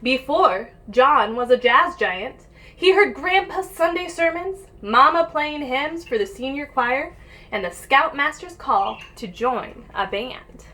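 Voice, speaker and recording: Bright, high-voiced woman reading to children. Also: expressive, slow. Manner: addresses young listeners directly, encouraging. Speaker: teacher reading a picture book aloud for pupils. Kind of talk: children's read-aloud with asides and questions. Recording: noisy home-made recording.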